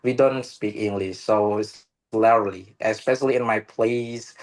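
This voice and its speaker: Slow, halting Indonesian man. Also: lowish voice, steady tone. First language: Indonesian local language. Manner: halting, many "uh" pauses and restarts. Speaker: meeting participant. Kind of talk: casual online discussion. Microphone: video call.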